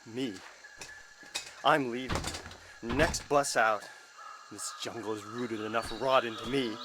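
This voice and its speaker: A man's voice: mid-range voice, steady tone, slow pace, background noise, close to an everyday voice. An actor playing an unhinged male survivor of a jungle expedition, erratic and rambling, in a video game cutscene. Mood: bitter, sardonic. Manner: erratic, muttering and bursting out, cackling. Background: music.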